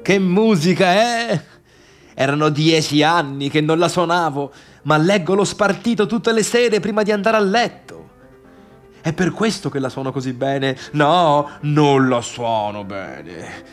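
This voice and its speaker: An actor playing a bitter, needy, boastful failed pianist in a halting theatrical radio monologue that swings between murmurs and outbursts. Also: male voice, on the high side, expressive, faint music behind. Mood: wistful, then needling. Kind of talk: radio theatre monologue, addressing imagined listeners.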